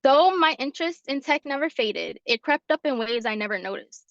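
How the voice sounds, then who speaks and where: A young woman from New York reads her personal story aloud in a steady, earnest way. Her voice sits a little high. The call audio is dry and somewhat dull, like a lo-fi video call. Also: brisk, no room sound. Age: 20s. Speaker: fellow presenting her story. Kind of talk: reading a prepared personal story.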